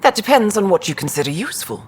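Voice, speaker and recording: Wry, animated stranded scientist woman. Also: mid-range voice, fast, breathy edge. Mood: keen, explanatory. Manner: wry, expressive. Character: stranded female field scientist, wry, brisk and enthusiastic. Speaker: scientist character. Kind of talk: video game voice acting.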